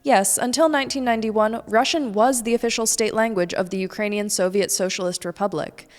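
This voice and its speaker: A woman's voice: medium pitch, fairly even intonation, fairly quick, faint music behind. A young woman from the United States delivers a scripted weekly news rundown in a mid-range voice, with dry, pointed asides. Her voice carries a long reverb tail. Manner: pointed, sardonic commentary. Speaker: news presenter.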